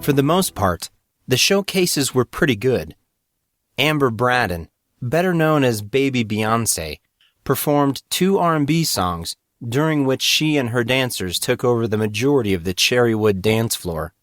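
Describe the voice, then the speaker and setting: A man's voice: mid-range voice, expressive, a little slow, no room sound, studio quality. A man reading a scripted story as an audiobook narrator. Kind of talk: scripted fiction narration with acted dialogue.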